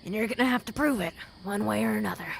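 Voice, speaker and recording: A heavily creaky, world-weary ghoul woman, played by an actress in a post-apocalyptic audio drama. She is blunt and protective, giving earnest counsel like a seasoned drifter. There is a faint noise behind her. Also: mid-range voice, quick pace. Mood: grim, blunt. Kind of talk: fan audio drama acting.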